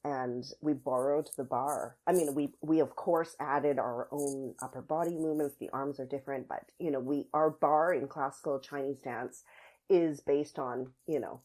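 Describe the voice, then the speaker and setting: Very low, husky-voiced woman. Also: fairly even intonation, moderate pace, a little mellow. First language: English. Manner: thoughtful, searching for words. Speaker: podcast guest, dance academy artistic director. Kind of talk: conversational explaining in an interview.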